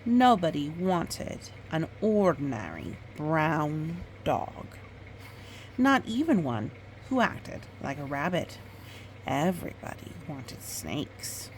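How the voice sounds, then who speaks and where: A woman reading a picture book aloud in storytime style, with expressive, drawn-out dramatic phrasing and chatty asides. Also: sits low, slight background noise, rough recording.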